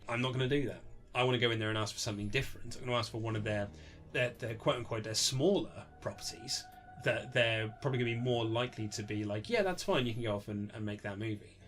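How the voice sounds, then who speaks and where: A British man talks at a rapid clip in a casual interview. He is chatty and rambling, slipping into quoted remarks as he explains things. Faint music sits behind his voice on a low-budget recording. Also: fairly bright tone. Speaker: author being interviewed. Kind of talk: animated casual interview chat.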